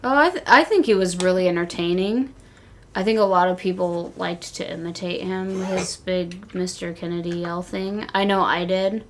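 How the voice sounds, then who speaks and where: A young woman co-hosting a wrestling podcast, chatting casually and telling stories in slangy, animated talk. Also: on the low side, normal speed, slight background noise. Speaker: podcast co-host. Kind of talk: casual chat about pro wrestling.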